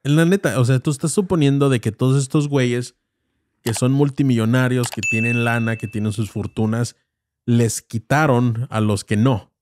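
A man's voice: medium pitch, fairly even intonation, normal speed, darkish tone, a little hiss or hum. A man in his thirties with a Mexican Spanish accent, co-hosting a podcast and arguing his point insistently with lots of slang. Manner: insistent, argumentative, heavy slang. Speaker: podcast co-host. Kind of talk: heated casual debate. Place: small studio with foam panels. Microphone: studio mic on boom arm, headphones.

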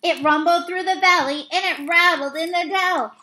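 A woman with a high, bright voice reads verse aloud from a picture book. Her delivery is halting, with the odd misreading that she goes back to fix. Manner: halting, with misreadings and self-corrections. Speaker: read-aloud reader. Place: small indoor room.

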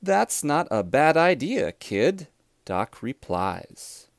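A man reads a children's storybook aloud with deliberate, expressive phrasing. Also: on the high side, creaky, dry recording. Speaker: storybook reader.

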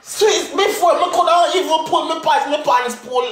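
A young man with a Jamaican accent chatting and telling stories in an excitable, exclamatory way on a livestream. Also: very high voice, normal speed, a little hiss or hum. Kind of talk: casual comic storytelling on a livestream. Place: indoors in a small bare-walled room. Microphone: phone close in front, split-screen live call. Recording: echoey bare room.

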